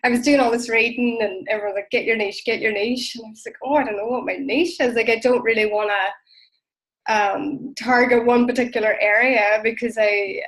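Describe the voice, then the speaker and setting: A woman's voice: on the high side, fairly even intonation, a little fast, poor audio. A young-sounding Irish woman, a podcast guest, chatting casually over a video call, full of likes and ums. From Ireland. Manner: hesitant, lots of likes and ums. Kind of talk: casual interview chat.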